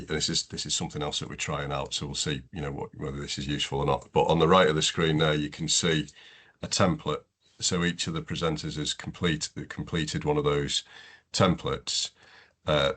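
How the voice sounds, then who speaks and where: A man with an extremely deep voice chairs an online webinar. He rambles through his links with many "you know"s and restarts, and his sound comes over a call. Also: a bit bright. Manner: rambling, filler-heavy, restarts. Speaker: webinar host. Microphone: video call.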